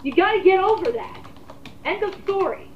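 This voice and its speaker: Woman, twenties. High-pitched, emphatic, casual opinion host. Dull, reverberant recording. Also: expressive, moderate pace, a little hiss or hum. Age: 20s. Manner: rambling, blunt, emphatic. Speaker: host leading a group discussion. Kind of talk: casual opinionated commentary chat.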